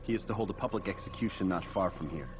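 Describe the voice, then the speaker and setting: A man's voice: low voice, quick pace, background noise, lightly acted. A man playing a proud, curt assassin in a video game, terse and self-assured. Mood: curt, confident. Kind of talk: video game dramatic acting.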